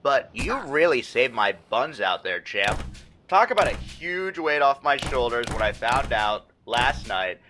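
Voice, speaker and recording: A man's voice: mid-range voice, lively intonation, a little slow, noisy background, close to an everyday voice. A man playing a smug, creepy corporate boss in a video game, falsely chummy and theatrical, with a menacing edge. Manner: over-friendly, theatrical, passive-aggressive. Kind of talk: video game character voice acting.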